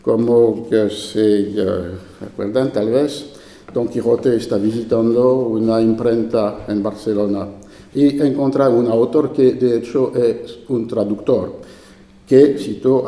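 A man with a French accent gives a formal conference lecture at a deliberate, unhurried pace. His dark, mellow voice is measured, with the odd hesitation. It sounds like an echoey lecture hall. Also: medium pitch, fairly even intonation. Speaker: invited speaker. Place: university lecture room.

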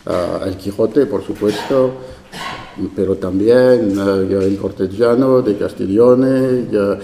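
A man with a French accent, a native French speaker, giving a formal academic conference lecture in a university lecture room, measured with occasional hesitations. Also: fairly low pitch, warm tone, a little hiss or hum. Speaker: invited speaker. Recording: echoey lecture hall.

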